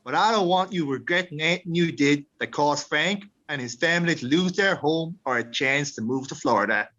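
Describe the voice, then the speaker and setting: A man in an amateur radio drama playing a kindly, gently persuasive ghost of a family patriarch. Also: fairly high pitch, brisk. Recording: dull lo-fi sound.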